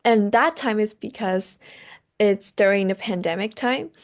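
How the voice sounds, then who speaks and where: A young woman from Taiwan with as her answers questions in simple, halting English. Her voice carries a noticeable vocal fry, at a middle pitch. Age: young adult. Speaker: guest musician. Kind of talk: casual interview answers.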